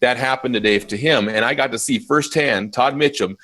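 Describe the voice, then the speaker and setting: A man who runs a mobile DJ company, chatting in a casual live interview and telling stories with lively jumps in his voice. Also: medium pitch, normal speed. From Minnesota, USA. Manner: animated storyteller, voice jumps up when quoting.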